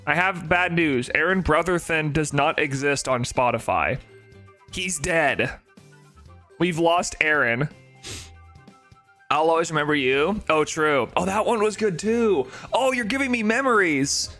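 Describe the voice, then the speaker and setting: A man with a nasal voice chatting casually on a live stream, rambling and excitable, with faint music behind him. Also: fairly high pitch, measured pace. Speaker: shiny-hunting live streamer.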